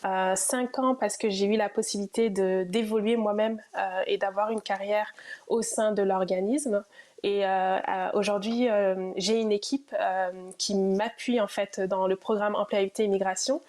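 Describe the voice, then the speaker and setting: Woman guest answering with many pauses. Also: medium pitch, a little mellow. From immigrated to Ontario. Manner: hesitant, many fillers. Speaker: guest from an immigration program. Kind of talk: TV interview answers.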